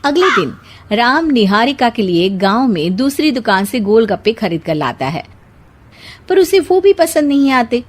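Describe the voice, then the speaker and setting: Woman narrator for kids cartoon story, fairly high voice, lots of swoops. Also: big pitch swings, moderate pace. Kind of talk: scripted children's story narration.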